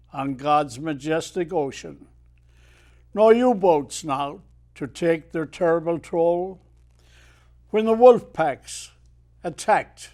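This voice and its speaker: An Irish man in his eighties, a retired mariner guest telling stories and reciting his own poetry in a measured way. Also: fairly high pitch, darkish tone. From Ireland. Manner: measured storyteller who declaims verse with swelling emphasis. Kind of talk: unhurried storytelling and poetry recitation.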